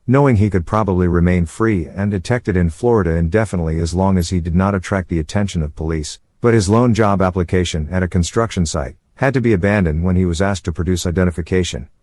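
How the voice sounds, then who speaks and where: A man reading scripted true-crime documentary narration in a measured, formal manner. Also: deep voice, a little mellow, no room sound.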